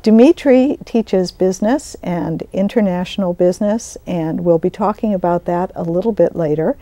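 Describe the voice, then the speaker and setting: A woman hosts an interview. Her voice is soft-edged and mellow, recorded with very little room sound. She moves between introductions and conversational questions. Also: lowish voice, moderate pace, dry recording. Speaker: interview host; college business instructor.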